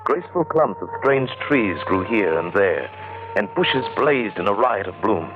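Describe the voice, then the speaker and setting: An actor playing an adventurer who recounts a weird tale in literary, descriptive 1940s radio drama style. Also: male voice, fairly low pitch, expressive, measured pace. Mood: awed recollection. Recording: tinny old radio recording, loud music.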